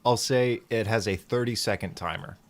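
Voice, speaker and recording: A man's voice: fairly low pitch, normal speed. A man casually narrating an improvised tabletop game as game master, making it up as he goes and swearing freely.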